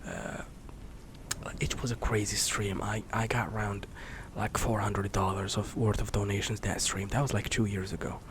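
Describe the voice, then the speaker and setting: A man in his late twenties, a streamer rambling in a soft-spoken, candid way, swearing casually and slipping into whispers. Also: fairly low pitch, level tone, normal speed, slight background noise. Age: 20s. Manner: candid, swears casually, many fillers and restarts; drifts between soft speech and whisper.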